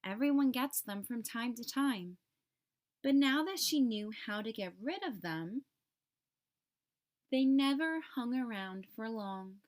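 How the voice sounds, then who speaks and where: High-voiced woman reading slowly to children. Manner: careful, expressive storybook phrasing with deliberate pauses. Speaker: teacher reading aloud a picture book for children. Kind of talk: scripted storytime narration for young children.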